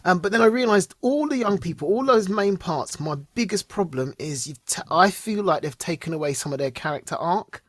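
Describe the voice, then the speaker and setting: Man, podcast co-host, casual chatty review, husky, dry sound. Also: high-pitched, animated, fairly quick, hardly any pauses, a bit bright, no echo. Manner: chatty, laughs often, trails off mid-sentence. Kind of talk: casual chat reviewing TV shows.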